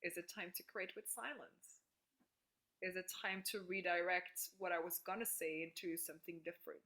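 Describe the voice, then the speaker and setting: Woman, facilitator, video call, bright tone, enthusiastic, casual. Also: on the low side, steady tone, normal speed. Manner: enthusiastic, frequent "like", laughs easily. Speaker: workshop facilitator. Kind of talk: casual group call coaching.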